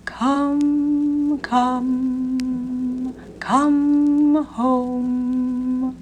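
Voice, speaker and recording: A woman voicing a sorrowful fairy lady from a lake, chanting softly and slowly. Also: high-pitched, level tone, soft background music, voice pushed up. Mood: mournful chant. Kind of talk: 1950s spoken-word record.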